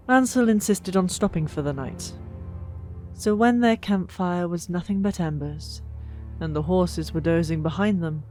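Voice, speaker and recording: A narrator reading a story in a dramatic, expressive way, with drawn-out pauses for emphasis. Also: medium pitch. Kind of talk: dramatic scripted audiobook narration. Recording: old mic, background music. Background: music.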